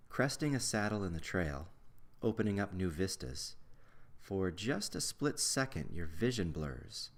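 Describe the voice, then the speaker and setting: A man narrating a scripted guided visualization in a measured, instructional way with deliberate pauses. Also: low-pitched, sing-song.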